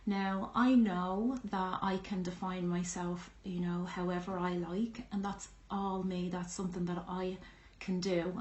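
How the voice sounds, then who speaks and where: A woman in her fifties with a strong Irish accent, frankly telling her own life story to camera with many pauses and restarts. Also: on the low side, a little mellow. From Ireland. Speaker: vlogger. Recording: echoey room.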